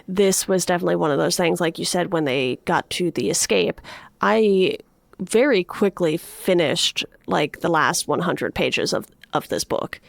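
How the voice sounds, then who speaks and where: A woman talks casually as a podcast co-host in a book discussion. Her voice sits a little low and has a gravelly fry to it. The recording is dry, with very little room sound. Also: a little slow, dry recording. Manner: frequently laughs while talking.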